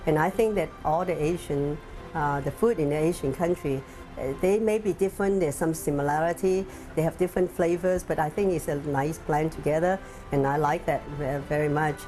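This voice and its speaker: A woman restaurateur with a Hong Kong Chinese accent, speaking in a documentary interview and leaning on lots of fillers as she pauses and restarts. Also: sits low, warm tone, faint music behind. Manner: halting, many fillers.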